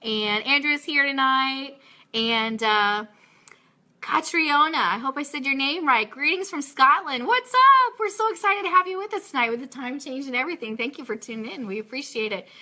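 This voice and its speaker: A woman with a forceful, bright voice pitched on the high side hosts a live radio show. She is chatty and excitable, gushing as she moves casually between news items and talk with whoever is there. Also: normal speed.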